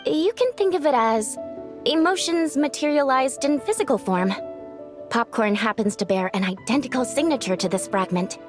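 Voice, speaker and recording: A woman voicing a gentle, polite young female yokai in a video game, earnest and a little hesitant. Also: high-pitched, lively intonation, normal speed, over music. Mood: earnest explaining.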